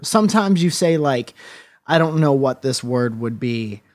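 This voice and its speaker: A man's voice: mid-range voice, expressive, measured pace, a little mellow, dry recording, studio quality. A man co-hosting a podcast, chatting casually in a playful, rambling way and swearing now and then. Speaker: podcast co-host. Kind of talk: casual banter.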